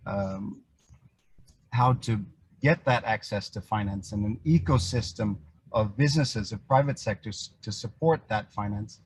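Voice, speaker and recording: A man from Indonesia giving a prepared introduction as a webinar panelist, in a halting way with pauses and repeated words. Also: on the low side, a little hiss or hum, rough recording. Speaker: business representative, webinar panelist. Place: online video call.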